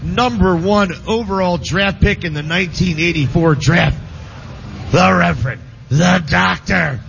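A man's voice: high-pitched, unhurried, gravelly, a bit bright. A man hosting a sports radio show, introducing the panel with jokey nicknames in casual banter. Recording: dull lo-fi broadcast with faint noise.

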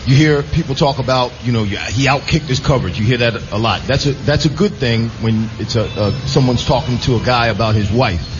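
A man's voice: mid-range voice, brisk, hardly any pauses. A man co-hosting a live radio show, asking questions casually and often repeating his opening words. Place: busy casino floor, live broadcast. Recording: dull sound over loud venue noise. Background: loud casino ambience.

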